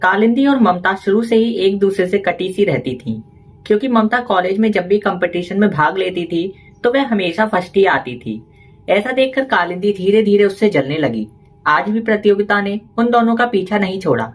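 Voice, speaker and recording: A woman narrates a scripted story as its storyteller. Her voice sits at a medium pitch for a woman. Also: animated, moderate pace, husky.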